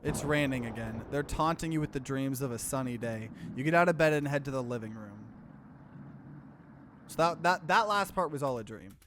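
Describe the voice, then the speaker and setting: A man doing a let's play, reading game text aloud and breaking in with animated, bewildered reactions. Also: medium pitch, moderate pace, slight background noise.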